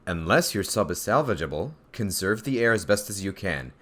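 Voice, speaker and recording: Guy acting curt mission control officer, lowish voice, lots of up and down. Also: sing-song, a little fast, a bit bright, full character voice. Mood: brusque. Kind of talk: amateur audio drama acting.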